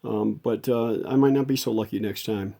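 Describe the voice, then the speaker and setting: A man's voice: lowish voice, normal speed, creaky, dark tone. A man giving an unscripted, hands-on repair demonstration as a restorer, explaining things step by step in a folksy way.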